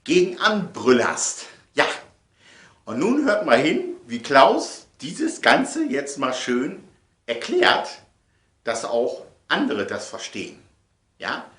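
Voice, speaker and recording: A man's voice: mid-range voice, huge pitch swings, slow pace, a bit bright. A man presenting in a casual, chatty way, rambling and emphatic, repeating phrases for effect. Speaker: presenter of his audio sketches. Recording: echoey room.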